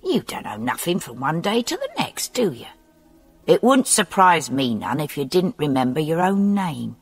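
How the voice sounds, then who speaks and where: A woman's voice: sits low, sing-song, moderate pace, slightly rough, exaggerated voice. A woman voicing a cheerful, patient male hospital orderly, chatty and good-humoured in an audiobook. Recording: long reverb with faint background music.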